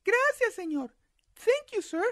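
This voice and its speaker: A man's voice: very high-pitched, big pitch swings, a little slow, fairly bright tone, dry recording, clean studio sound, pitched above the performer's own voice, exaggerated voice. A man voicing a polite, wary little girl from a storybook, in a careful sing-song manner.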